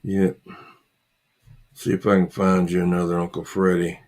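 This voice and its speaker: A man hosting a casual livestream, chatting with viewers in a halting, repetitive way and muttering asides to himself. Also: deep voice, completely flat delivery, darkish tone. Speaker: livestream host.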